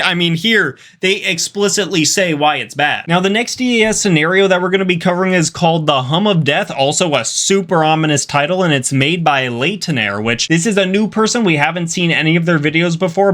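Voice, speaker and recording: A young man hosting a reaction video with casual, slangy commentary and drawn-out emphasis. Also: on the high side, fairly bright tone.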